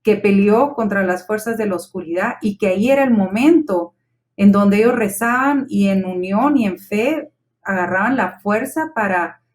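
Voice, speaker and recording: A woman's voice: mid-range voice, normal speed. Reflective woman on a TV panel. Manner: reflective, with repeated restarts. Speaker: panel guest. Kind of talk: talk-show discussion.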